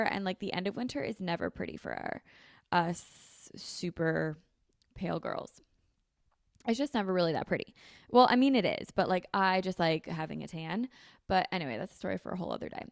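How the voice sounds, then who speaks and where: A woman's voice: on the low side, gravelly, dry recording, studio quality. A woman in her twenties hosting a casual solo podcast from her home kitchen, talking in a chatty, rambling way full of "like" and "um" into a handheld mic. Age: 20s. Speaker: podcast host. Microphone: handheld dynamic mic held close.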